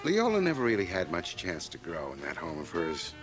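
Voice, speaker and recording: Brash rising businessman: hearty, self-satisfied, lively swooping intonation, old radio drama with music. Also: sits low, a little fast, low-quality recording. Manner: boastful, hearty, opinionated. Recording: 1980 radio drama cassette transfer.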